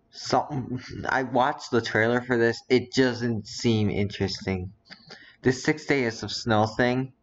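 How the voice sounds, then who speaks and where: Teen boy, casual stream commentary, slow unhurried talk, muffled lo-fi sound with faint hiss. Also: on the low side, creaky. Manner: muttering, reading aloud while browsing. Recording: dull lo-fi audio with faint noise.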